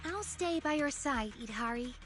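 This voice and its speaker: An actress playing an excitable, affectionate little girl in an anime-style game, with an expressive, earnest delivery. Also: sits high, moderate pace, soft background music. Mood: earnest, caring.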